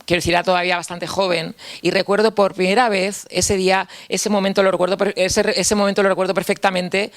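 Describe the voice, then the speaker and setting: A man from Spain with a Spanish accent gives a personal testimony talk, reflective and candid, often repeating phrases and closing points with a questioning tag. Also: sits high. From Spain, Castilla-La Mancha. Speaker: speaker at a mental health talk.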